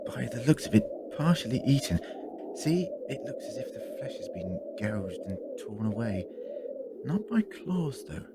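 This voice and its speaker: Man acting deliberate, theatrical investigator, noisy backdrop. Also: medium pitch, very animated. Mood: pondering, analytical. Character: very tall time-traveling doctor, inquisitive, dryly dramatic male. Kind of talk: audio drama acting.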